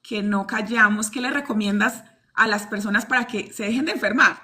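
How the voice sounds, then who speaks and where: A woman's voice: on the high side, fairly quick, bright, forceful tone. A woman with a Colombian accent, hosting an interview, enthusiastic and laughing easily as she asks questions. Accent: Colombian Spanish. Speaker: interviewer, host. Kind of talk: conversational interview.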